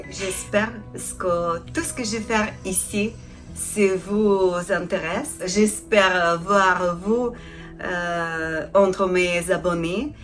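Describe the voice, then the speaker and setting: Slow-speaking Ukrainian-accented woman. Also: on the low side, steady tone, fairly bright tone, soft background music. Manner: halting, searching for words in non-native French. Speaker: craft channel host, felting artisan. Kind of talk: casual introduction to camera.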